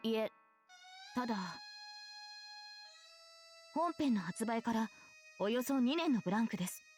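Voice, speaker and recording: A woman's voice: a little high, animated, fairly quick, a little mellow, faint music behind, natural voice. An actress playing a polite, earnest young knight woman in a visual novel, speaking formally and seriously. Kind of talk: Japanese visual novel voice acting.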